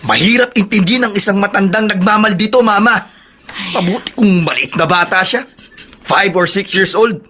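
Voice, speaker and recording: A man's voice: high-pitched, moderate pace, bright, forceful tone, slight background noise. An actor playing a blunt, resentful young man with a Filipino accent, complaining defiantly in a radio drama.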